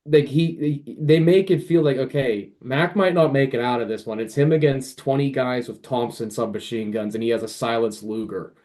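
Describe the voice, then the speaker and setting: A man's voice: medium pitch, normal speed, few pauses, a little mellow. A man in a casual podcast conversation about books, talking in an informal, fannish way. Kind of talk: casual podcast book chat.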